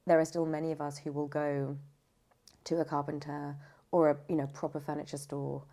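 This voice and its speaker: A woman's voice: low voice, level tone, a little fast, vocal fry, dark tone. A woman chatting thoughtfully as an interview guest, explaining her views in a conversational way. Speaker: podcast guest, audiobook narrator and voice actor.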